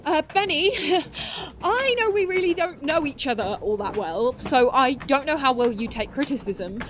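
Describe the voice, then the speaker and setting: High-pitched nerdy, awkward teen girl played by a woman. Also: expressive, moderate pace, slight background noise. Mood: awkward, apologetic. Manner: hesitant, rambling, technical explanations. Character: nerdy, awkward teenage girl, eager science whiz. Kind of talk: audio drama acting.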